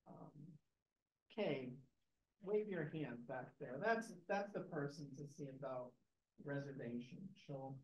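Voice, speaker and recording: An older man, president of a preservation trust, giving relaxed welcome remarks with many fillers to an audience in a library meeting room, recorded from a camera in the audience. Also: on the high side. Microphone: far camera in the audience. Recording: echoey room, dull lo-fi sound.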